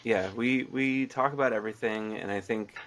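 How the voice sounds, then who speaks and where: A man with a low, gravelly voice and a stuffy, congested nose talks reflectively in a casual interview. He is a comic writer, and he fills pauses with ums as he thinks aloud. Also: fairly bright tone, slight background noise, poor audio. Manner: reflective, with fillers and laughs.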